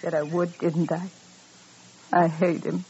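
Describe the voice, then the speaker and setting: A woman's voice: lowish voice, flat delivery, slow, mellow. An actress playing a crazy, spurned frontier woman in an old radio western, brooding and defiant, with rustic, repetitive speech. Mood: sullen, defiant. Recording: dull, noisy old radio recording.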